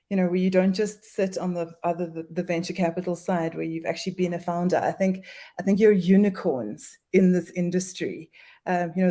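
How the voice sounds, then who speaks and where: Warm, low-voiced woman, chatty guest. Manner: friendly and chatty. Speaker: guest speaker, commercial director. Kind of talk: conversational webinar talk.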